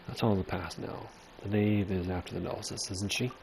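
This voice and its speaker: Deep-voiced man playing through a game. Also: flat delivery, a little fast, vocal fry, a crowd in the background. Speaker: gamer reading and reacting to a story. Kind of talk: casual game playthrough commentary and read-aloud. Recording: noticeable game ambience behind the voice.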